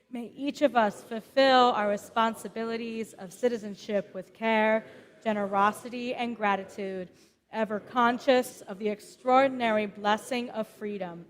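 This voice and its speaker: Slow-paced woman prayer leader in echoing hall. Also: fairly high pitch, fairly bright tone. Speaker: cantor leading a synagogue service. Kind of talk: leading congregational prayer. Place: synagogue sanctuary. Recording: long echo of a large hall.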